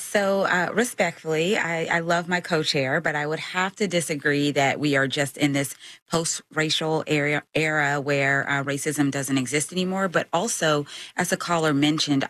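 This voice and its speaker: A woman speaking as a state legislator and panel guest, laying out her views deliberately in unscripted discussion. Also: deep voice, fairly bright tone, no room sound.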